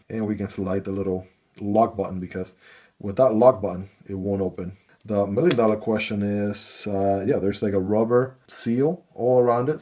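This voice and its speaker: A man's voice: on the low side, dark tone. A man casually talking through an unboxing and hands-on review of a gadget, easygoing and chatty with frequent fillers. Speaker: gear reviewer.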